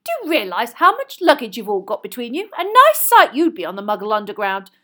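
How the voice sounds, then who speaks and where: A woman voicing a bossy, exasperated middle-aged mother of a big family, brisk and scolding in a storybook reading. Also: sing-song, a bit bright. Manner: brisk, scolding, shrill when upset.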